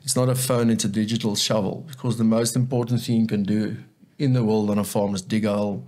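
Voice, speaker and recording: A man's voice: lowish voice, flat delivery, quick pace, dark tone. A South African man whose first language is Afrikaans, speaking earnestly as a guest in a casual interview and often repeating words as he thinks. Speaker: guest, agronomist.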